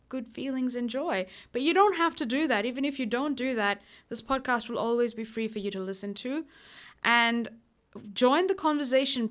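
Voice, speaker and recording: A woman's voice: a little high, smooth. A young woman hosting a podcast, introducing an episode in an earnest, chatty way with small fillers and restarts. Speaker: podcast host. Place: Melbourne.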